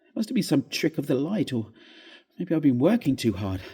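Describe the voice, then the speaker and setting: A man's voice: fairly high pitch, big pitch swings, brisk, mellow, slightly rough, heavily acted. A man reading a ghost story, voicing an overwrought husband in an agitated, earnest, theatrical way. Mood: relieved, sheepish.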